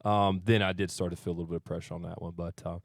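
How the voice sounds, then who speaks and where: Low-voiced guy in his 30s, modest and thoughtful, dry studio mic. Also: little pitch movement, rapid-fire, vocal fry, no echo. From Arkansas. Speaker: country singer guest. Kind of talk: casual studio interview. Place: radio studio.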